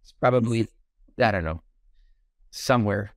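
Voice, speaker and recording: A man's voice: fairly low pitch, normal speed, creaky, no room sound, studio quality. A middle-aged man, a plastic surgeon, talking bluntly and jokingly on a video call. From Iran. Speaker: plastic surgeon, podcast host. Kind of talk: casual interview chat. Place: home room on a video call.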